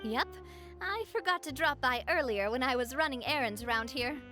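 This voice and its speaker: A confident young woman who leads her crew talks in an upbeat, assured tone. Her voice sits high, with faint game music underneath. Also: animated, moderate pace. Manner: warm, upbeat and self-assured. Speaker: gang leader. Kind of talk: video game voice acting.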